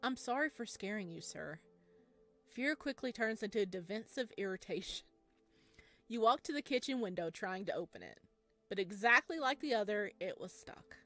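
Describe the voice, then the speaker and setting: A giggly, excitable woman reading a visual novel aloud with playful, joking commentary during a let's play. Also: mid-range voice, moderate pace, no room sound, soft background music. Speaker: gaming content creator playing a visual novel.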